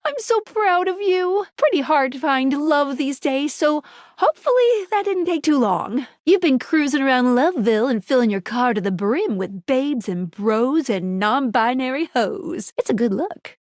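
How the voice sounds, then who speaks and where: High, perky, geeky game girl. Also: expressive, a little slow, no room sound, studio quality. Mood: peppy, cheering. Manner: peppy, quirky, slangy, stammers when flustered. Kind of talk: animated video game character dialogue.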